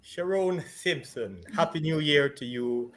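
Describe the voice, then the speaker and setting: A man's voice: a little high, a bit bright. A middle-aged man hosting a live interview over a video call from his home, laughing often between questions. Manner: cheerful, laughs often, quick bursts. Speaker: host. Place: home room with shelves. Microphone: video call webcam.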